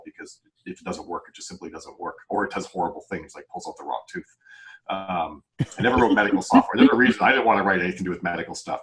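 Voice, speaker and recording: A man with a deep voice talks very quickly in a casual panel discussion. He thinks aloud and explains things in a rambling way. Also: fairly bright tone, poor audio.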